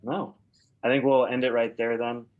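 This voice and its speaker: A man who grew up in Oregon, hosting a relaxed, chatty interview as a real estate agent. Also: medium pitch, moderate pace, phone-quality sound. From Oregon, USA. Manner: relaxed, chatty, many filler words and restarts. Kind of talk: casual interview questions.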